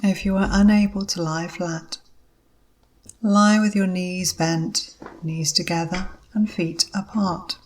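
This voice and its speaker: A woman with a fairly low voice guides a meditation at a very slow pace. She speaks as a yoga instructor, in short, measured phrases separated by pauses. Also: fairly even intonation.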